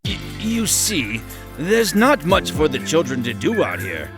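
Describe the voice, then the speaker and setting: A man voicing a timid, kindly older inventor in a cartoon comic dub, with a hesitant, gentle manner. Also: a little high, wildly swooping pitch, measured pace, over music, exaggerated voice. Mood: gentle, sheepish.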